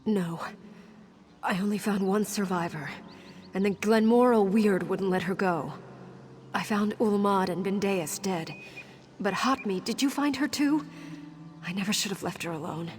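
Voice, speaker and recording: A woman's voice: mid-range voice, a little slow, a little hiss or hum, close to an everyday voice. A young woman voicing a wounded, defiant scout and dutiful daughter in a video game, speaking in an earnest, dramatic way. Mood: anguished. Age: young adult. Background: game music.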